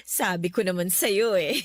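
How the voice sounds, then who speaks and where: Radio drama temptress: high-voiced, very expressive, taunting and flirty younger sister out to seduce. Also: female voice, heavy background noise, exaggerated voice. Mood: smug. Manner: teasing, taunting, giggly. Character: scheming, flirtatious younger sister seducing her brother-in-law. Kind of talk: Tagalog radio drama acting.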